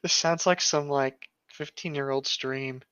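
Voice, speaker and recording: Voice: medium pitch, fairly even intonation, measured pace, fairly bright tone, clear, resonant tone, no room sound. A co-host reading internet horror stories aloud and reacting casually, on a dull lo-fi microphone.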